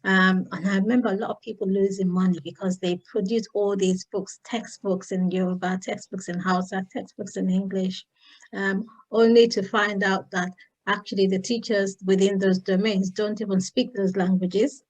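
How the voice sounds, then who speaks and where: Nigerian lady hosting a chat, thinks out loud, lots of ums. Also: mid-range voice, level tone, normal speed, low-quality recording. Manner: thoughtful, with many fillers. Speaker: host. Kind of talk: casual panel discussion.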